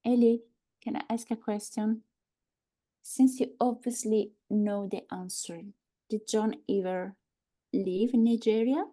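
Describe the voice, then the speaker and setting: A woman's voice: fairly high pitch, fairly even intonation, a little slow, mellow, natural voice. A woman playing a guarded, hesitant character who asks questions in a live script reading. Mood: hesitant, probing. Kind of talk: live screenplay table read acting.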